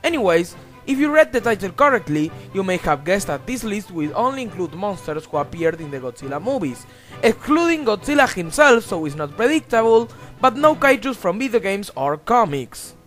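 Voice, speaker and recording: A young man narrates in a high, very animated voice. His delivery is emphatic and punchy, full of exclamations and crude asides. Faint music plays under his scripted voice-over. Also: moderate pace. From Argentina. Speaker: countdown video host.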